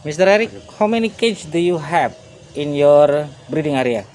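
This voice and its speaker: A man working as interpreter, asking quick questions in English with fillers. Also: on the high side, animated, darkish tone, a little hiss or hum.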